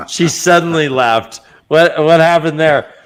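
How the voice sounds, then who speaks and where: A man's voice: on the high side, slight background noise. A man co-hosting a casual book-discussion podcast, joking around and laughing often. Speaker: podcast co-host.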